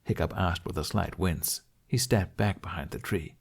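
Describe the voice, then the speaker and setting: A man reading a story as an audiobook narrator, in an expressive scripted style. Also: low-pitched, moderate pace, vocal fry, slightly rough.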